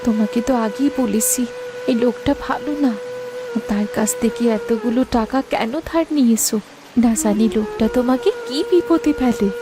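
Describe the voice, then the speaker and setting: A woman's voice: on the high side, moderate pace, background noise, close to an everyday voice. Woman acting a worried, reproachful cartoon village woman. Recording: music behind the voice.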